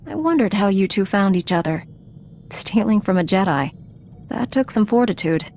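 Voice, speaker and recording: A woman's voice: medium pitch, lively intonation, moderate pace, a little mellow, a little hiss or hum, lightly acted. A woman acting a composed, dutiful Jedi Knight and mentor in a video game, speaking earnestly and formally.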